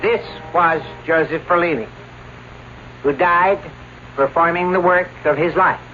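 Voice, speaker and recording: A man's voice: on the high side, a little slow, slight background noise, close to an everyday voice. A man playing a solemn minister giving a formal funeral eulogy in an old radio drama. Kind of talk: 1970s radio drama acting. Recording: dull old radio broadcast with music.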